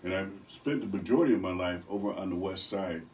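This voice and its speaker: An older man from Rochester, New York with a deep voice, speaking as an evangelist guest in a radio studio, telling stories with good humor. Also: fast, darkish tone, a little hiss or hum. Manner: good-humored, rambling storyteller. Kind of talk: radio interview. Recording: distant from the mic.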